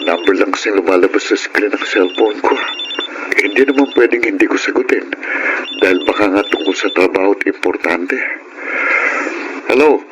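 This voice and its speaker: A young Filipino man acting a calm older brother in a radio drama. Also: fairly low pitch, normal speed, long pauses, background noise, close to an everyday voice. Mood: puzzled, polite. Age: young adult.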